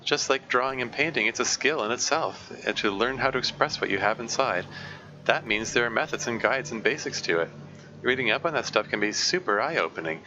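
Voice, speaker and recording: A man reading aloud the lines of an upbeat teenage girl club president from a game, giving earnest advice. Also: mid-range voice. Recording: muffled lo-fi mic with steady hiss.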